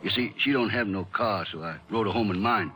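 An actor playing a nervous, talkative small-town marshal with a rambling Texan drawl, in a 1950s radio western style. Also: male voice, on the low side, brisk, fairly bright tone, faint music behind, lightly acted. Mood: agitated, folksy. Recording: hissy old radio drama recording.

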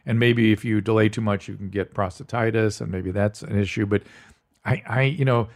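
A man's voice: lowish voice, steady tone, brisk. A man hosting a podcast in casual chat, frank and joking, laughing between points. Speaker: podcast host.

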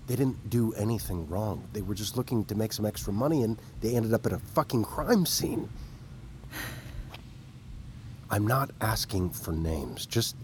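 An actor playing an insistent, protective fixer in an audio drama, speaking naturally in a halting, pressing, pleading way. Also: male voice, lowish voice, a little mellow, a little hiss or hum, lightly acted. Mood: earnest, persuasive. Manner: halting, pressing, repeats himself for emphasis.

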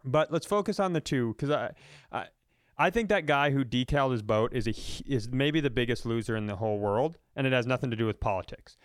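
A man's voice: mid-range voice, animated, fairly quick, clear, resonant tone, no room sound, clean studio sound. An American man co-hosting a comedy podcast, ranting casually in a blunt, profane, mocking way.

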